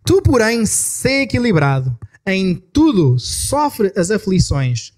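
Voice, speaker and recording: Man, Portuguese accent, pastor; high-ish voice, slow emphatic verse reading, quick asides. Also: big pitch swings, darkish tone. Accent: European Portuguese. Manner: reads verses slowly with rising emphasis, then talks quickly between passages; self-correcting, apologetic asides. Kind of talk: sermon with scripture reading.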